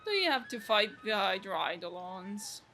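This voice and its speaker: A woman's voice: mid-range voice, lively intonation, moderate pace. A woman streaming a game, reacting casually with short, playful interjections and little sound words. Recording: game music behind the voice.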